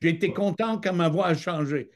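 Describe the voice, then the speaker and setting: A man's voice: a little high, moderate pace. A middle-aged man from Michigan, a guest telling his life story in French over a video call, hesitating with many fillers. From Michigan, United States. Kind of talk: testimony interview.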